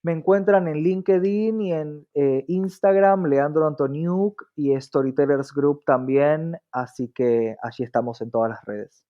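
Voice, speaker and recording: A man with an Argentine accent and a fairly high-set voice answers as an interview guest. He speaks in an earnest, reflective way and often adds little tag questions. The sound is a bit dull and lo-fi. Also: unhurried. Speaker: interview guest, diversity activist.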